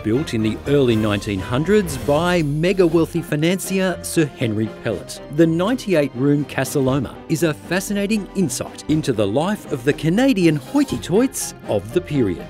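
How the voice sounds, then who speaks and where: A man in his forties delivers scripted travel narration with a playful, upbeat lilt. His pitch sits a little high and rises and falls a lot. Music plays clearly behind him. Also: big pitch swings, normal speed, few pauses. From Australia. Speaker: travel show host.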